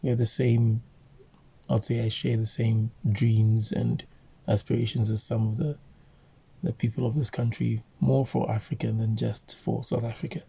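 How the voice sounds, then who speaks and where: A man from Zambia reading a book aloud and commenting on it, halting often with fillers and self-corrections. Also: mid-range voice, little pitch movement, vocal fry, mellow, slightly rough.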